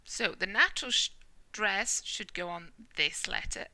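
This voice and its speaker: A British woman teaching a Spanish lesson, explaining clearly and checking in with the listener as she goes. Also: medium pitch, animated, slow, pushed and bright, no echo. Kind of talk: whiteboard language lesson.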